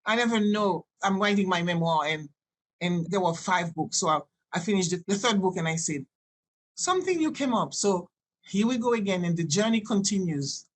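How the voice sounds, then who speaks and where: A woman in her sixties from Haiti, a native French and Creole speaker with a Haitian accent, telling personal stories in a halting, digressive way as a podcast guest. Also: medium pitch, animated. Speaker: podcast guest, doctor and healer. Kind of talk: conversational personal storytelling.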